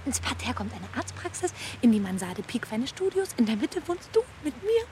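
A woman's voice: fairly high pitch, sing-song, normal speed, dark tone, a little hiss or hum. An actress playing a flippant, scheming, greedy younger woman in a German radio crime drama, teasing and goading as she talks. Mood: dreamy, eager.

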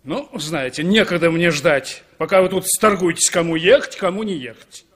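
A man's voice: fairly high pitch, normal speed, fairly bright tone, close to an everyday voice. A man playing a pushy, huffy motorist in a 1960s radio drama, insistent and easily affronted. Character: pushy, huffy male motorist offering lifts.

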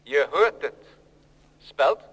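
A man's voice: fairly high pitch, expressive, very slow, fairly bright tone, husky, full character voice. A man playing a coldly menacing machine in an old radio drama, declaiming ominously. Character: machine producing plates, coldly menacing, prophesying domination. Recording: long reverberant hall.

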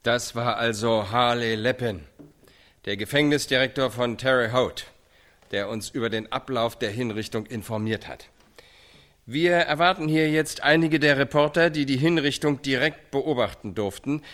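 A man playing an on-location news reporter in a radio play. He presents in a medium-pitched voice with a businesslike, professional live-broadcast delivery. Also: normal speed, a bit bright, natural voice. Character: live television news correspondent on location at a prison.

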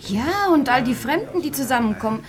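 A woman's voice: sits high, lively intonation, fairly quick. An actress in a German radio play, playing a chatty, gushing provincial society lady.

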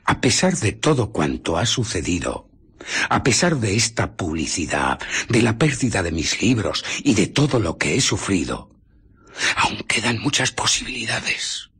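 A bitter, megalomaniac scientist in a Castilian radio play. The low voice is very husky and breathy, moving slowly and haltingly. It swings widely between emphatic menace and whispered scheming. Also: male voice, sing-song, a bit bright, exaggerated voice. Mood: confiding, suspicious. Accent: Castilian Spanish. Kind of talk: Spanish radio drama acting.

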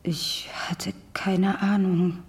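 An actress playing a traumatised, guilt-ridden wife in a radio drama, speaking haltingly and often sinking into a breathy near-whisper. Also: female voice, fairly low pitch, level tone, a little mellow. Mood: numb, confessing. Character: traumatised, guilt-ridden wife confessing to killing her husband. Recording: faint background noise.

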